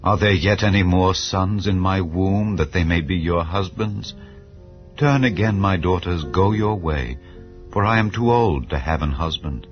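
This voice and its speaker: Naomi, an aged widow worn down by grief, speaks in slow, solemn phrases, voiced by a man. The voice sits very low, and the recording is a little dull. Faint music plays behind. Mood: lamenting. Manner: slow, solemn biblical speech. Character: grieving aged widow Naomi, bitter and tender.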